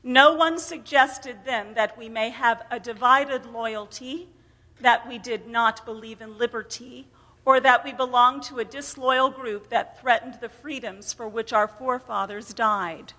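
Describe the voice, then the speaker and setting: Woman, American accent, high voice, emphatic theatrical reading, echoing hall. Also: steady tone, unhurried, sharp tone. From United States. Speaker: reader at a storytelling event. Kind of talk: dramatic reading of a first-person story. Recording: reverberant hall.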